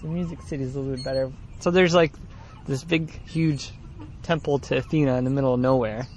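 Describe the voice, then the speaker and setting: A man's voice: mid-range voice, fairly even intonation, fast talker, darkish tone, a little hiss or hum. A man co-hosting a podcast, chatting casually and laughing often while sitting on a swing outdoors. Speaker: podcast co-host. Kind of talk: casual chat.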